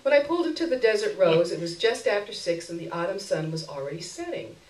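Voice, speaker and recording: Animated woman reading a story aloud. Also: medium pitch, fast. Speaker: story reader. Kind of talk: expressive live short-story reading. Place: roomy indoor stage event. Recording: roomy echo, recorded far from microphone.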